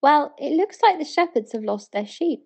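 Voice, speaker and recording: British female, slightly high voice, as an eager ancient townswoman played by a woman in spoken-word verse; earnest, full of wonder. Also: moderate pace, gravelly. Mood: curious. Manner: earnest, excited, pleading.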